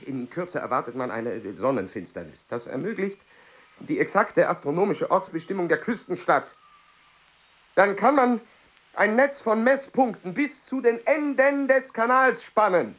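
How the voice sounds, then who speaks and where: An actor playing an earnest, formal explorer-scientist in a radio play, flustered and stiff in manner. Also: male voice, high voice, lively intonation, normal speed, fairly bright tone, dull audio. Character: earnest, driven male explorer-scientist, adult. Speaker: lead character in a radio play. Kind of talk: 1990s-2000s German radio drama acting.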